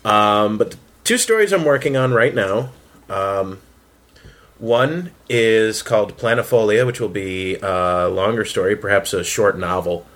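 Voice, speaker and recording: A man with a fairly low voice talks in a relaxed round-table setting. He explains his writing in a casual way, often halting and hesitating as he picks his words. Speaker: fiction writer on a round-table panel.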